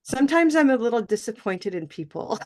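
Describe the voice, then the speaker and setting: A woman's voice: fairly high pitch, big pitch swings, fairly quick, crowd noise. A woman teaching English pronunciation in an online class, encouraging and laughing often.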